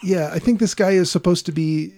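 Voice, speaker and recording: A man's voice: high-pitched, brisk, warm tone. A man casually recapping a horror film on a podcast, rambling and wry, with frequent fillers and laughs. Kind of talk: casual comedic chat.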